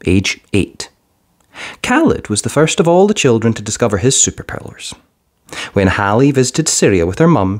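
An expressive storyteller reads a children's picture book aloud. The pitch swings in a lively way, and phrases are delivered deliberately, with drawn-out endings.

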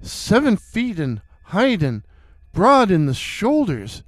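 A man's voice: high voice, huge pitch swings, takes it slowly, no room sound. A man narrating a first-person horror story in a suspenseful way, repeating words for effect.

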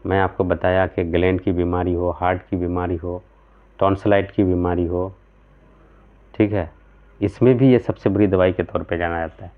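A man in his thirties talks to camera from a small room at home, explaining remedies in an earnest, step-by-step way. His voice sits low and stays flat in pitch. The recording is thin and tinny, like a phone line. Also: moderate pace. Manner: earnest, explanatory, gesturing with both hands, frequent "theek hai" check-ins. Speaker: homeopathic practitioner.

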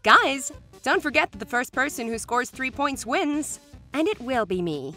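Casting: woman as assertive cartoon girl, very high and bright, competitive, animated comic-dub read. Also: normal speed, faint music behind, exaggerated voice.

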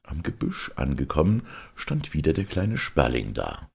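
A man narrating a fairy tale as a storyteller, theatrical and expressive. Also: extremely low pitch, moderate pace, creaky, husky. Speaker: storyteller, audiobook reader.

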